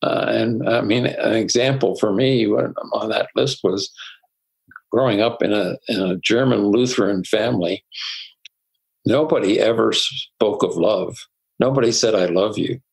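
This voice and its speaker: An older man, a psychologist guest, telling personal stories in a reflective, unhurried way with soft laughs. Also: on the low side, creaky, breathy edge, low-quality recording. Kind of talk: conversational interview storytelling.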